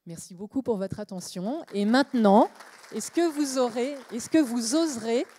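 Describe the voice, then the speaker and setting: A woman's voice: a little high, normal speed, dark tone, a little hiss or hum. A woman speaking at a conference, giving a short inspirational talk that builds to emphatic questions. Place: conference stage.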